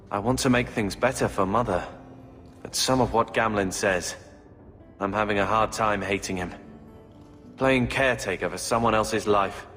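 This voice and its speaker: Resentful fantasy RPG brother: sullen, fairly low, reverberant hall sound. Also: male voice, fairly bright tone. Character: resentful, brooding younger brother in a fantasy game. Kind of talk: dramatic video game acting. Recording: long hall reverb, faint music behind.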